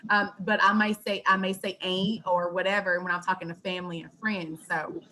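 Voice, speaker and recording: A woman leads a book club discussion on a video call and speaks candidly. Her voice is bright. Faint noise sits under the call. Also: on the low side, level tone, brisk, rough recording. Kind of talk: casual group discussion.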